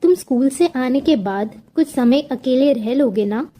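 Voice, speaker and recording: Woman as caring cartoon mother, high pitch, mellow tone, gentle. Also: animated, unhurried. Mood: hesitant, gentle. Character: caring, gentle widowed mother of a young boy. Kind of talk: Hindi cartoon story dialogue.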